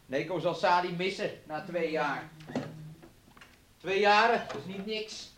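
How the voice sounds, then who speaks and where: An actor in a radio play playing a callous, coaxing man who talks with quiet insistence. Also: high-pitched, unhurried, very noisy. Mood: detached, casual. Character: callous, coaxing man exploiting a sick woman.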